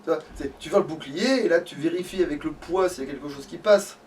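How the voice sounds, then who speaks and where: A man's voice: a little high, fast, darkish tone. A man chatting casually as he plays a game, rambling and grumbling with plenty of swearing. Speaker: let's player. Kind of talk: casual gameplay chat. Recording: distant mic, noticeable background noise.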